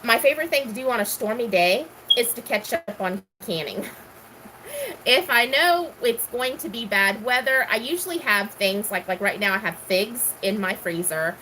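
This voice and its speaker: A woman's voice: fairly high pitch, expressive, moderate pace, pushed and bright, slight background noise, low-quality recording. A middle-aged woman from Texas, casually chatting and answering questions, rambling with many ums. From Houston, Texas. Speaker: gardening vlogger.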